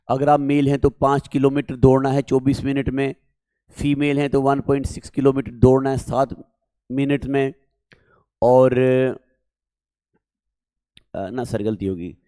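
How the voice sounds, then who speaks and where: A male coaching teacher with a sore throat, speaking slowly and deliberately as he walks students through exam details in Hindi. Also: medium pitch, darkish tone, dry recording. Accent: North Indian Hindi. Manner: slow, deliberate, addresses listeners as dear children. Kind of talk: exam information lesson to students.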